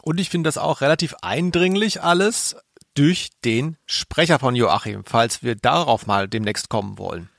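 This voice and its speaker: A man's voice: mid-range voice, normal speed, vocal fry, a bit bright, no echo, clean studio sound. A man co-hosting a podcast in casual, analytical chat, often checking for agreement with little tag questions. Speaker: podcast co-host.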